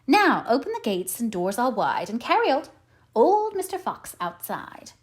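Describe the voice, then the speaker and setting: Imperious lady fox, woman narrator acting, fussy, commanding, highish, animated, storybook. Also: moderate pace. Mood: joyful. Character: fussy, imperious widowed vixen seeking a husband. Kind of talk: storybook read-aloud character voice.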